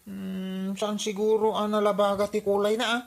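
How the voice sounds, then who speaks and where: A woman's voice: medium pitch, little pitch movement, normal speed, vocal fry, lightly acted. A woman with an Ilocano accent playing a skeptical, teasing family member in a radio comedy drama.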